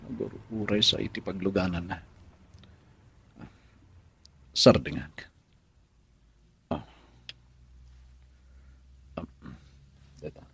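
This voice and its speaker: A man's voice: lowish voice, vocal fry, a little hiss or hum, exaggerated voice. A man acting in a Filipino radio drama as a troubled young married man, with an Ilocano accent and a hesitant, musing way of talking. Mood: brooding.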